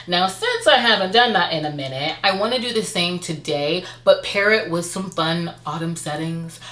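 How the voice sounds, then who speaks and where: A woman hosting a casual book review vlog, speaking to viewers in an enthusiastic, expressive and emphatic way. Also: on the low side, roomy sound. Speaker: book review vlogger and host. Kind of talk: casual monthly reading wrap-up to camera.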